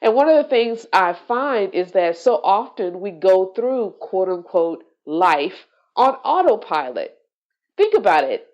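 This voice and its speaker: Nasal stuffed-up lady hosting her podcast, slow and reflective, kinda dull lo-fi sound. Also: mid-range voice, sing-song, darkish tone. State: congested, nasal from allergies. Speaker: podcast host. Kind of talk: solo podcast talk.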